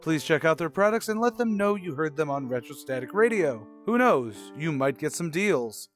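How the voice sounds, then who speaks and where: High-pitched man announcing a radio show. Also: sing-song, normal speed, faint music behind. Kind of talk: scripted narration, old-time radio announcing.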